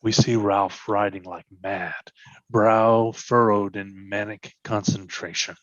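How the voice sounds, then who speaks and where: A man's voice: fairly low pitch, level tone, slow, fairly bright tone, no echo, lo-fi sound. A man reading scripted stage directions as narration in a readers theatre performance.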